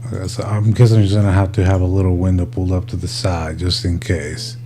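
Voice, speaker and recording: Laid-back male livestreamer with a mellow voice. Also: low-pitched, little pitch movement, fast talker, slight background noise. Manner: informal, laid-back, addresses viewers as buddies. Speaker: livestream host. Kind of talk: casual livestream chat with viewers.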